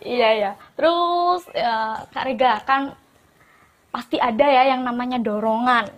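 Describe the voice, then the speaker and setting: Female interviewer, high voice, bubbly and encouraging. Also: a little slow, slight background noise. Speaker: host, interviewer. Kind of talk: casual interview.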